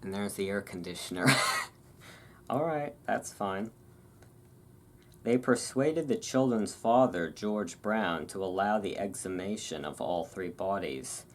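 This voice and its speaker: A host giving a relaxing ASMR reading of a historical article, with relaxed, informal delivery. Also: sits low, takes it slowly, slight background noise.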